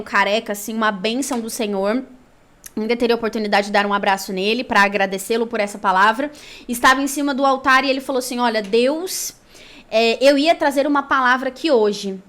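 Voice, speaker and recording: Woman, Brazilian accent, higher-pitched voice, conversational faith storytelling, animated and colloquial delivery. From São Paulo, Brazil. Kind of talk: personal storytelling with Bible reading.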